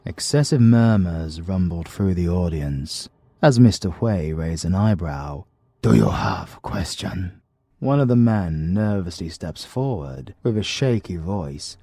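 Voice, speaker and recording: Deep raspy british guy reading an audiobook, slow and dark toned. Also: lively intonation, no echo, studio quality. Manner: slow, deliberate, gravelly storytelling. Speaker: audiobook narrator. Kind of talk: scripted narration.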